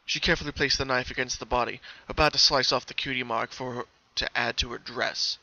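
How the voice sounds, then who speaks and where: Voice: mid-range voice, fairly even intonation, a little fast, pushed and bright, lo-fi sound. A narrator giving a scripted dramatic reading of a fan story. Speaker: narrator, fan fiction reader.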